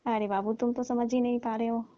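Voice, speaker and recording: A woman's voice: fairly high pitch, flat delivery, brisk, warm tone, lightly acted. A woman playing a coaxing middle-aged aunty on a phone call, wheedling and pleading as she keeps calling him "babu". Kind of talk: scripted comedy phone call. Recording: muffled phone call recording.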